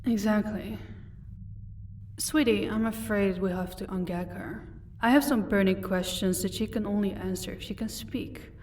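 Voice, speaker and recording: A woman's voice: mid-range voice, moderate pace, darkish tone, far from the mic, a little hiss or hum, close to an everyday voice. A woman playing a proud, sardonic vampire captor in an audio roleplay, commanding and dryly sarcastic. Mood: cool, explaining.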